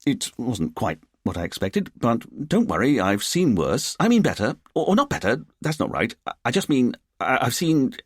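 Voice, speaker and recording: A man acts out a stiff, formal police chief with a husky voice. He blusters and stammers, his pitch jumping about with indignation, in a storybook drama. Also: very animated, fairly quick, no room sound, studio quality. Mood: flustered.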